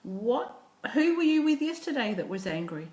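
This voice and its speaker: A woman storyteller plays a gentle, patient mother. She is encouraging and coaxing as she speaks to her little boy. The sound is echoey, as in a live room. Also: on the high side, sing-song, moderate pace, pitched above the performer's own voice. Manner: encouraging, questioning.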